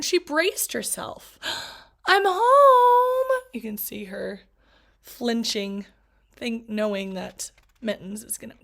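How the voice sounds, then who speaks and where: A woman, a teacher, reading a picture book aloud to children, expressive and chatty, with asides to her young listeners. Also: a little high, creaky.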